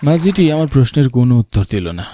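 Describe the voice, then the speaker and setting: Man acting an earnest young man, mellow, expressive. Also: mid-range voice, rapid-fire, a little hiss or hum, lightly acted. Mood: wondering, uneasy. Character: earnest young man narrating his eerie village journey. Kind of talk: scripted first-person story narration.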